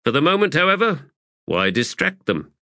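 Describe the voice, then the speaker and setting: A man's voice: on the high side, sing-song, normal speed, sharp tone, no echo, pitched above the performer's own voice. A man voicing a secretive, self-assured army commander in an audiobook, explaining with dry authority.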